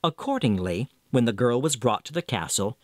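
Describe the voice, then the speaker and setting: A man reading a fairy tale as a scripted narration, with a theatrical, expressive delivery. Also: medium pitch, a little fast, dry recording, studio quality. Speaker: storyteller.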